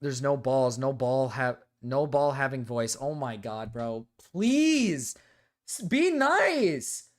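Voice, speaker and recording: Excitable young man streaming. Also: medium pitch, slow pace. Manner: excitable, swears, swings from loud indignation to mumbling. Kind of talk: casual reaction stream chat.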